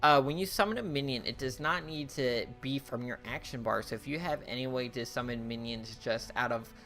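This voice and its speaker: A man's voice: mid-range voice, fairly quick, bright, forceful tone, faint music behind. A young man casually reviewing cards for a card game, thinking aloud with many fillers and restarts, his voice getting worn. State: losing his voice.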